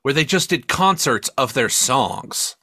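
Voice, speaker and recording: A man co-hosting a casual podcast chat, talking in an emphatic, animated way with some swearing. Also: medium pitch, a little slow, creaky, husky, no echo, clean studio sound. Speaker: podcast co-host. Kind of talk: casual chat reviewing media.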